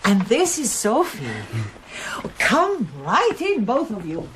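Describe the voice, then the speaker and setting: A woman's voice: high-pitched, sing-song, takes it slowly, a bit bright, a little hiss or hum, heavily acted. An actress playing an anxious, reserved middle-aged wife and mother in a radio drama, wistful and guarded. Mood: welcoming. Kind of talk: radio drama acting, with first-person narration.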